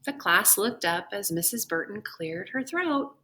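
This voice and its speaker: Slow, bright-voiced woman teacher reading aloud. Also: medium pitch, expressive, slight background noise. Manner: deliberate, addressing children. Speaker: elementary school teacher. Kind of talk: expressive read-aloud and talk to young pupils.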